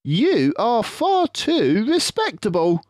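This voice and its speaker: A man voicing a cocky, suave fox who leads the others, with theatrical, drawn-out emphasis, in a children's storybook reading. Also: sits high, wildly swooping pitch, darkish tone, dry recording, studio quality, voice pushed up, exaggerated voice. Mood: persuasive. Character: cocky, suave male fox, sly leader and provider.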